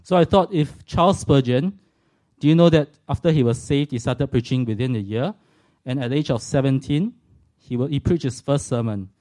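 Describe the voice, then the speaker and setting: A man's voice: medium pitch, moderate pace, mellow. A man in his forties from Singapore with a Singaporean accent, giving an earnest, reflective personal faith testimony to a church audience, with touches of self-deprecating humour.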